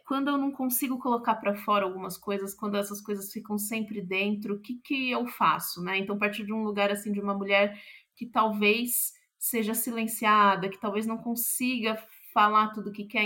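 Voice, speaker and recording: A woman's voice: medium pitch, pushed and bright. A woman with a Brazilian accent speaking reflectively as an interview guest, searching for her words and trailing off.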